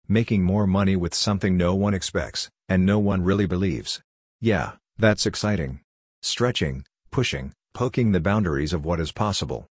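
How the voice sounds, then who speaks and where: Husky low-voiced older Hungarian man. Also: no room sound, studio quality. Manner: blunt, lecturing. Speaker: workshop leader. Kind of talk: scripted monologue.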